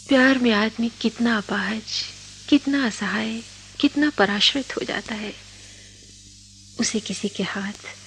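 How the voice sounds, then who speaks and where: A woman acting in a radio drama as a shy, lovestruck young college girl, with a hesitant, emotional manner. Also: a little high.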